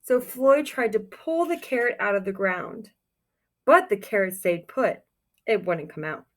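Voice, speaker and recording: Expressive woman reading aloud, very lively pitch. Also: unhurried. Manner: expressive, encouraging, exclaims softly over pictures. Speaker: teacher reading a picture book aloud to young children. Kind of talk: children's read-aloud with questions to listeners.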